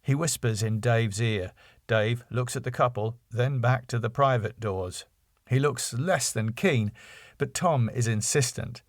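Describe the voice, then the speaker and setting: A man's voice: medium pitch, a little slow, no echo, clean studio sound. A man narrating a comedy script, reading out scene headings and stage directions. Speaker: writer and narrator.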